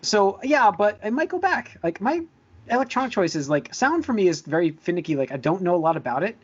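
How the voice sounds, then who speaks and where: A man's voice: sits high, very animated, brisk, breathy edge, muffled recording. A man hosting a podcast in casual chat, rambling and easily sidetracked as he talks. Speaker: podcast host.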